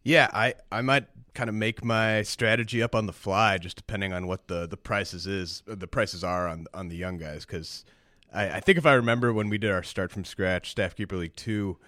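A man with a very low voice speaks on a podcast, his voice rough and raspy. He talks haltingly and keeps restarting his words. The recording is dry and close. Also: bright, forceful tone, no echo. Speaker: prospect writer, co-host. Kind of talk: casual fantasy baseball chat.

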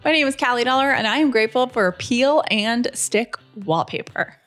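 A woman's voice: fairly high pitch, animated, normal speed, fairly bright tone, faint music behind. A woman co-hosting a podcast, talking in a casual, conversational way. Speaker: podcast co-host.

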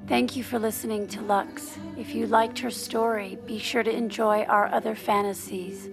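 An actress playing a calm, coaxing woman in an intimate audio drama, with a gentle manner. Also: medium pitch, normal speed, gravelly, music in the background, close to an everyday voice.

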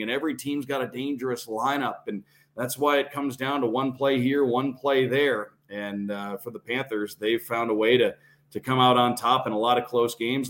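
A man's voice: mid-range voice, a bit bright. A man talking as a sports broadcaster and podcast guest, analysing games and building his points step by step with emphatic repetition. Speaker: radio play-by-play announcer, podcast guest.

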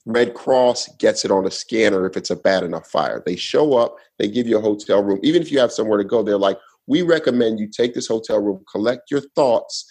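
A man's voice: on the low side, fairly quick, hardly any pauses, a little mellow, breathy edge. A man telling a long personal story in a casual podcast chat, laid-back and rambling with restarts. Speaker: guest storyteller.

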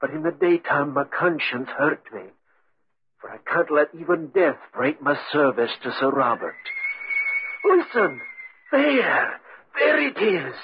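An actor playing a devoted, morbid old manservant in a 1940s radio drama, with a husky voice. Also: male voice, a little high, very animated, measured pace, heavily acted. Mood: frightened, resolute. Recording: muffled 1940s radio recording.

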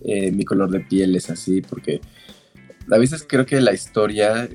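A man's voice: on the low side, measured pace, a little mellow, soft background music. A man, an author answering interview questions in a frank, opinionated way. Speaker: author and guest.

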